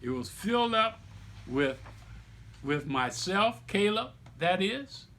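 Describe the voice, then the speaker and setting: A middle-aged man reading a book aloud like a storyteller, with emphatic, deliberate phrasing and explanatory asides to listeners. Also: sits high, sing-song, fairly bright tone, slight background noise. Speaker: author reading own children's book aloud.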